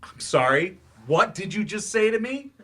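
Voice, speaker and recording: A man plays a wounded, sarcastic teacher in a staged drama reading. His voice sits fairly high, and he is defensive and emphatic, with grief under the bitterness. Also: sing-song, normal speed, bright, forceful tone, exaggerated voice. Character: bitter, sarcastic, grieving man, ex-writer turned remedial English teacher.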